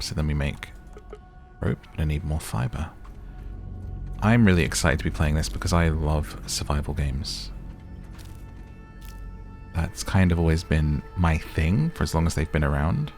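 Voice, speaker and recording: A man giving relaxed, soothing gameplay commentary in an unhurried, chatty ASMR style. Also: extremely low pitch, vocal fry, faint music behind. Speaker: gaming streamer.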